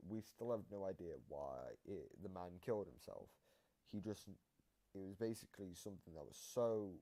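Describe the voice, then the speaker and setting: A man giving casual reaction commentary, explaining game lore in a halting, repetitive way. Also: low voice, mellow. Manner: explanatory, halting, repeats himself.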